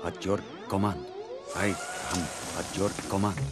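A man's voice: deep voice, fairly even intonation, unhurried, over loud music. A man voicing a dry, reluctant, put-upon big ape in a cartoon. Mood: hypnotised. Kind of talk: cartoon voice acting.

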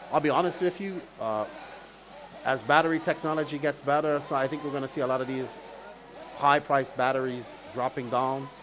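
A man from Bermuda with a Bermudian accent, working as a race commentator and chatting casually with live-stream viewers. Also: a little high. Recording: clear background noise, outdoor event ambience.

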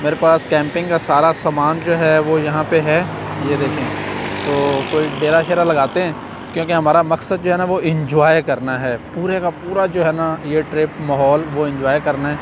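Tired pakistani guy w vlogging by a highway, clip mic, traffic going by. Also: steady tone, moderate pace. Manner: chatty, fills talk with "jo hai na", addresses viewers as brothers. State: tired after an all-night ride. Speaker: travel vlogger. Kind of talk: casual travel vlog to camera. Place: outdoors by a highway and in a roadside restaurant. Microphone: clip-on mic, selfie camera at arm's length. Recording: outdoor traffic noise behind.

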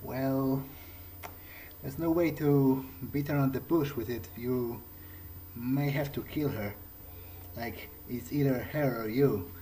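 A man's voice: mid-range voice, slow, mellow, slight background noise. A man doing in-character roleplay for a game playthrough, casually and hesitantly musing aloud. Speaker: gameplay video creator.

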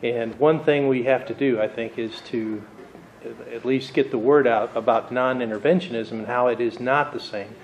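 A man's voice: mid-range voice, steady tone, moderate pace. A man in the audience poses an earnest, somewhat hesitant question. His voice comes through dull and lo-fi, with faint hiss. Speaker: audience member asking a question.

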